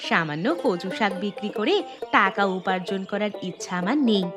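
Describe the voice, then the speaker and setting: A woman's voice: medium pitch, fairly quick, lightly acted. A woman voicing a generous, modest village housewife in a moral-story cartoon, speaking in an earnest, kindly way. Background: background music.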